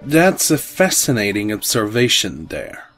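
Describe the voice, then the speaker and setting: A man playing a terse, brooding vampire gunslinger in an audio drama, deadpan and dryly sardonic. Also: lowish voice, unhurried, faint music behind. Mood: dry sarcasm. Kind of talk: fan audio drama acting.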